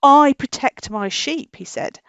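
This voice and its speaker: A woman hosting a children's storytime, telling a tale informally in simple, animated explanations. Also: high-pitched, a little slow, vocal fry, no room sound, lo-fi sound. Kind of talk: informal storytelling to a puppet dog.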